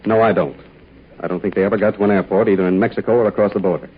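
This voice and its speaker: A man playing a calm, thoughtful aviator hero in a 1940s radio serial, speaking in a measured, fatherly way. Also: fairly low pitch, lightly acted. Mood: thoughtful, concerned. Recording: noisy, tinny 1940 radio broadcast.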